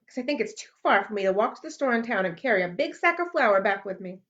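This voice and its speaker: A woman's voice: medium pitch, lively intonation, a bit bright, natural voice. A woman reading aloud and voicing a spirited, curious young girl who peppers grown-ups with eager questions. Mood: eager questioning. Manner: eager, quick, full of questions. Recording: dull lo-fi recording.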